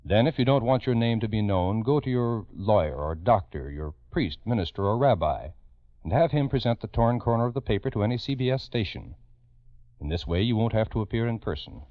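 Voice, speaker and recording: A man with a low voice narrates like a 1950s radio crime host. He is solemn and dramatic, leaning on his words as he addresses listeners. The sound is dry and dulled like an old broadcast. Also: animated, fairly quick, no room sound. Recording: dull vintage radio recording.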